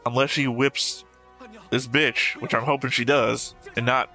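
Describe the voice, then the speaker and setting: A man's voice: medium pitch, level tone, measured pace, pushed and bright, faint music behind. A man giving blunt, opinionated, casual commentary as a reaction video host, swearing freely.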